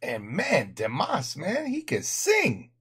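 A middle-aged man hosting a music reaction, talking through a headset at home with excited exclamations, his voice worn and going hoarse. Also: on the high side, slow pace. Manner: animated, enthusiastic exclamations. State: losing his voice, clearing throat. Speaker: reaction channel host. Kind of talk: casual music reaction commentary. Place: home room, indoors.

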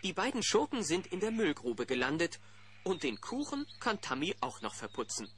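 A man's voice: on the high side, huge pitch swings, normal speed, a bit bright, slight background noise. A man playing a chummy narrator, telling a scripted story to young listeners in a children's audio play. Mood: storytelling.

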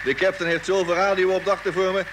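Casting: male actor as curious, chatty young-spirited space crewman, high voice, very noisy vintage radio recording. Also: brisk, close to an everyday voice. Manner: informal, excitable. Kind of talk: vintage Dutch radio drama acting.

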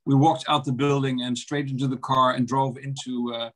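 Dutch male guest, 50s, webcam audio, slow hesitant delivery with fillers. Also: mid-range voice, level tone. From Netherlands. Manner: measured, hesitant, many fillers. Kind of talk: video call interview. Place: office, video call. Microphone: webcam on a video call.

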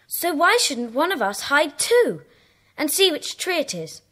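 A woman's voice: high-pitched, sing-song, measured pace, a bit bright, heavily acted. A woman playing a keen, clever schoolchild in a children's audio drama, eagerly reasoning.

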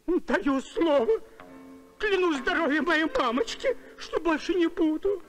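A man's voice: very high-pitched, expressive, measured pace, music in the background. A man voicing a fat, cowardly, sly space pirate in a cartoon, with whiny theatrical delivery. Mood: wheedling.

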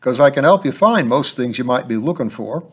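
A man's voice: mid-range voice, expressive, husky, highs cut off, pitched above the performer's own voice. A man doing a blunt cabbie. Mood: chatty, brusque. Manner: blunt, brisk, dropped g's. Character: gruff working-class London cab driver, middle-aged man, blunt and chatty. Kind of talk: audiobook character voice.

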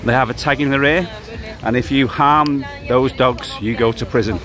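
A man's voice: medium pitch, moderate pace. A man narrating a casual walking tour on a busy seafront promenade at night, chatty and matter-of-fact as he lists prices. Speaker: travel vlogger. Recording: loud music and crowd around. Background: loud live music from bars.

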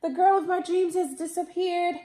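A woman's voice: extremely high pitch, level tone, moderate pace, dark tone, pitched above the performer's own voice. Woman putting on a dramatic lovelorn young prince. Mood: dismayed. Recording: roomy indoor echo.

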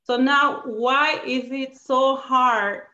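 A woman's voice: sits high, steady tone, extremely slow, pushed and bright. A woman from Peru with a Spanish accent, talking earnestly as a panelist on a video call and sometimes searching for words. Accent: Peruvian Spanish. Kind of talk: roundtable discussion. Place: home room on video call. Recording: roomy, muffled video call.

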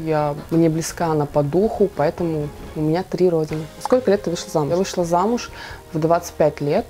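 A woman's voice: lowish voice, mellow. A young woman from Kazakhstan vlogging from her bedroom, reading viewer questions aloud and answering them in a chatty way. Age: young adult. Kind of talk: casual Q&A vlog. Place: small bedroom indoors.

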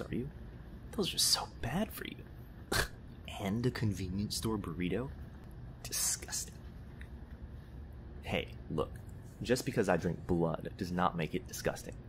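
A man's voice: sits low, noisy background. A man playing a grumpy, easily flustered vampire in a comedy audio roleplay, sarcastic and huffy in manner. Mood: disgusted, grudging. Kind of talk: comedy ASMR roleplay acting.

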